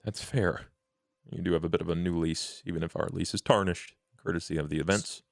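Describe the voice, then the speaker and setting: A man performs a courtly, scheming vampire for a tabletop game. The voice sits very low with a heavy gravelly creak, recorded close and dry. The phrasing is formal, deliberate and world-weary. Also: steady tone, no room sound. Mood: grave, musing.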